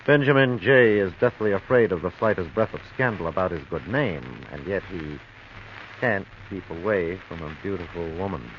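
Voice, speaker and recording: A sly, self-assured American crook near sixty lays out his thoughts suavely. His low voice comes through the dull, faintly hissy sound of vintage radio drama. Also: animated. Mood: scheming, confident. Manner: suave, sly, unhurried explaining. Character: smooth-talking scheming male blackmailer, near sixty, self-assured. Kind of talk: 1940s radio drama acting.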